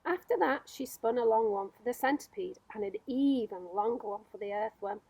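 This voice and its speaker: High-voiced woman reading a children's story. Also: lively intonation, moderate pace, a little mellow, breathy edge, dry recording, low-quality recording. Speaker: storyteller reading aloud, school staff. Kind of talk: children's audiobook narration.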